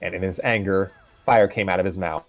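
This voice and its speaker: A man's voice: sits low, steady tone, a little fast, no room sound, soft background music, poor audio. A man reading a fan story aloud on a livestream, casually, with stumbles and asides.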